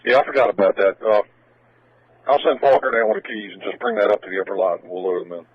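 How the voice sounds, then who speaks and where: A man's voice: on the low side, fast, slightly rough, no room sound. A man, a police officer, talking casually over a two-way radio. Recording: tinny, noisy police radio transmission.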